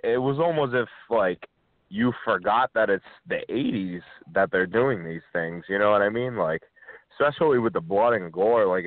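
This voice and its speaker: Joking low-voiced man on a tinny line. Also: dry recording. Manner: joking, crude quips. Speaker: co-host. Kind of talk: casual banter. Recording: dry tinny phone-line audio.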